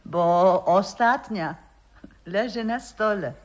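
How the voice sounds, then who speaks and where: An actress playing a gentle, nostalgic old grandmother, once a singer, in a radio play. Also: mid-range voice, slow, close to an everyday voice, rough recording. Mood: gently wry.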